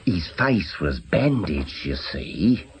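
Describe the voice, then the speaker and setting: Gossipy British clinic caretaker, very low voice, slow and unhurried, confiding radio-play delivery. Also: male voice, big pitch swings, slightly rough, heavily acted. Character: gossipy working man, chatty clinic caretaker. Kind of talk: naturalistic radio drama acting.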